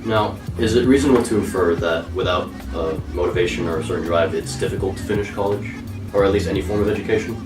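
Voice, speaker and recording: A man's voice: deep voice, roomy sound, recorded from a distance, over music. Hesitant teenage boy interviewing in a studio. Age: teens. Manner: halting, many fillers and restarts. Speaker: student interviewer. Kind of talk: school project interview. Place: recording studio.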